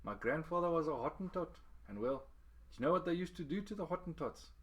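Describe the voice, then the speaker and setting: A man voicing a gentle, wise older fisherman with a South African Cape accent, speaking kindly and earnestly. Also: a little high, animated, quick pace, voice pushed up.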